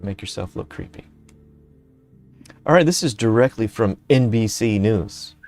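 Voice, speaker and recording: Low-voiced man chatting on a stream. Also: lively intonation, darkish tone, faint music behind. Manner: laid-back, laughing often, reads aloud haltingly. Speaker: streamer co-host. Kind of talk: casual stream chat, reading chat and articles aloud.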